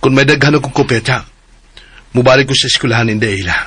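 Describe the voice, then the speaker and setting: A man's voice: mid-range voice, quick pace, fairly bright tone, husky, dry recording. An actor voicing a grieving husband missing his wife, earnest and polite in a radio drama.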